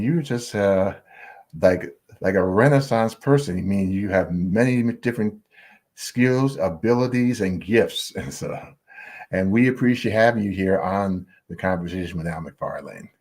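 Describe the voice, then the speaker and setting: Low-voiced male interview host. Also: expressive, unhurried, a little mellow. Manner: formal introduction then casual questions. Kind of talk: interview hosting.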